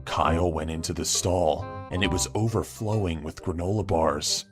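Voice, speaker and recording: A man's voice: deep voice, normal speed, music in the background. A man narrating short anecdotes in a casual, emphatic storytime style.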